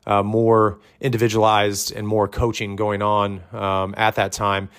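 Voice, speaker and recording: A man with a lowish, loud voice giving relaxed podcast advice to fellow gym coaches. He rambles a little, with plenty of ums and uhs, and sounds like an experienced coach in his thirties. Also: measured pace, smooth. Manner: conversational, many fillers, says his voice is loud. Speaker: fitness coach. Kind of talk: instructional podcast talk.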